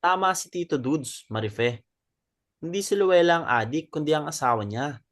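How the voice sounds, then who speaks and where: A man's voice: fairly high pitch, expressive, moderate pace, natural voice. A man playing a supportive club member in a dramatic reading, firm and reasoning. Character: supportive, reasoning male club member Rocky defending a widow. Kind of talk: dramatic stage reading in Tagalog.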